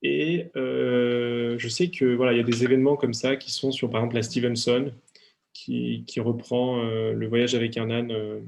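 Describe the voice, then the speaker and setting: A man's voice: medium pitch, creaky, a little mellow. Hesitant young man on a video call. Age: young adult. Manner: hesitant, many fillers and restarts. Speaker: author. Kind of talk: talk with audience questions, then reading aloud. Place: at home for an online talk.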